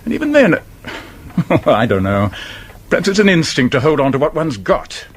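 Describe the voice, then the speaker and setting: An actor playing a sceptical, level-headed friend of a sleuth in a radio drama, husky, with an English accent and a dry, reasoning manner. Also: male voice, on the high side, big pitch swings, moderate pace. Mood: sceptical, mildly exasperated. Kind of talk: British radio drama acting.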